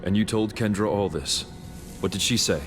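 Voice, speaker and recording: A man's voice: lowish voice, normal speed, natural voice. A man playing a loyal, earnest comrade in a fantasy game, with a dramatic delivery. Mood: concerned. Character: loyal, grateful male comrade, earnest freed prisoner. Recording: loud music behind.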